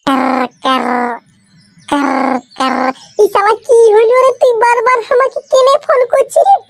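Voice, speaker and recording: An extremely shrill, high cartoon schoolboy in a dry recording. He is an excitable village friend with a Purulia accent, nagging insistently in exclamatory comic slang. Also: unhurried, vocal fry, dry recording, faint music behind, heavily acted. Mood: excited. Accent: Purulia, West Bengal.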